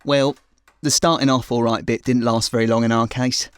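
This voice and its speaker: An actor playing a self-pitying, aggrieved husband in a comedy audio drama, grumbling in a blokeish way. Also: male voice, medium pitch, level tone, moderate pace, clear, resonant tone, no echo, lightly acted.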